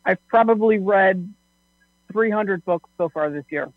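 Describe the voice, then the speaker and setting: A woman's voice: mid-range voice, unhurried, narrow, tinny audio. A woman chats casually and cuts in bluntly. Her voice comes through thin, band-limited audio that sounds like a phone. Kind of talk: casual chat.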